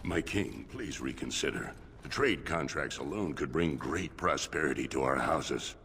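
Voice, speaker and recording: A man's voice: extremely low pitch, animated, moderate pace, gravelly, a bit bright, slight background noise, full character voice. An actor playing a dwarf lord in a video game, formal and stiff in his speech. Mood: pleading, deferential.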